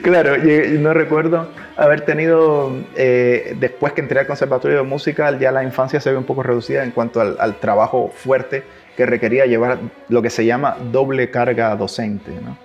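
Man, Cuban accent with Chilean touches, gravelly voice, storytelling, slightly muffled recording, faint music behind. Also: mid-range voice, steady tone, normal speed, hardly any pauses. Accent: Cuban Spanish with Chilean traces. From Cuba. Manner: warm anecdotal telling, restarts, laughs at his own stories. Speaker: interviewee, double bass player. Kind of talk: relaxed conversational storytelling.

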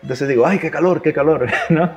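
Gravelly Cuban man telling stories. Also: on the high side, brisk, soft background music. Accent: Cuban Spanish with Chilean traces. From Cuba. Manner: warm anecdotal telling, restarts, laughs at his own stories. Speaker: interviewee, double bass player. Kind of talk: relaxed conversational storytelling.

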